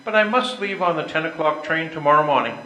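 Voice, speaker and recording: A man's voice: fairly high pitch, animated, fast talker, pushed and bright. An older man playing a proud, work-absorbed husband in amateur readers theater, speaking somewhat formally. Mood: formally apologetic. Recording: echoey, noisy room recording.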